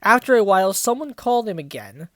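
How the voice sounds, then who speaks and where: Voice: medium pitch, big pitch swings, fast, a bit bright, dry recording, clean studio sound. A person reading a story aloud, apparently in their twenties, breaking off into sarcastic, sweary casual commentary. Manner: sarcastic, profane asides. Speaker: reviewer reading fan fiction aloud. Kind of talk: dramatic read-aloud with mocking casual commentary.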